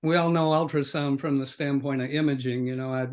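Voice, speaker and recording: An older man hosting a podcast conversation in an unscripted, halting way, as if thinking aloud. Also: mid-range voice, steady tone. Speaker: podcast host. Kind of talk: conversational scripted-free interview introduction.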